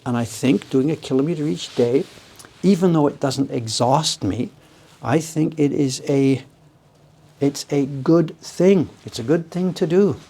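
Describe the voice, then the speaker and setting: A man in his seventies with a slightly high voice talks in a loose, rambling way as he hosts a live stream. He explains his workshop gear as he goes and keeps breaking into amused laughter. A faint background noise sits under his voice. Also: measured pace, gravelly, warm tone. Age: 70s. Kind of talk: casual chat while demonstrating workshop tools.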